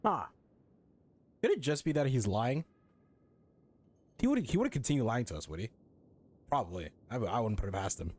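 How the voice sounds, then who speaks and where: A man's voice: mid-range voice, big pitch swings, moderate pace, long pauses, darkish tone. A man giving casual, offhand commentary while playing a story game, blunt and sweary.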